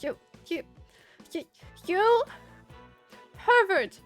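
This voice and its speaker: Woman voicing a high-pitched, flustered, indignant woman inventor. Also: lively intonation, drawn-out words, soft background music, exaggerated voice. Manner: indignant, stammers when flustered. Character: hot-tempered, easily flustered female inventor, indignant.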